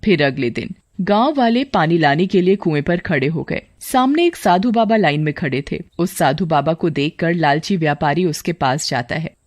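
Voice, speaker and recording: A woman's voice: low voice, expressive, normal speed, hardly any pauses, dry recording, clean studio sound. A woman narrating a scripted moral tale as storyteller.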